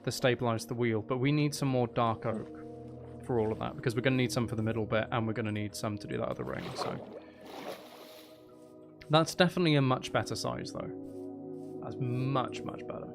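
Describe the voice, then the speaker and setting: A man's voice: medium pitch, lively intonation, a little fast, vocal fry, music in the background. A man hosting a casual gaming livestream, rambling and thinking aloud with playful, mock-dramatic asides. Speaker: livestream host.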